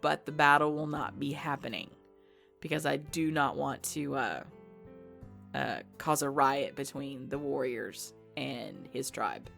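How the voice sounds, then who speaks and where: A woman's voice: extremely low pitch, a little slow, vocal fry, a bit bright, soft background music. A woman hosting her channel's subscriber Q&A, chatty, playful and self-deprecating. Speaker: ASMR channel host. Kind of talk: casual subscriber Q&A.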